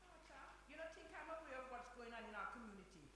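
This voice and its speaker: An actress playing a firm, pragmatic community centre manager in a stage play, insistent and admonishing. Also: female voice, fairly high pitch, lively intonation, fast, close to an everyday voice. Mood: defensive. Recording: echoey live hall, faint noise.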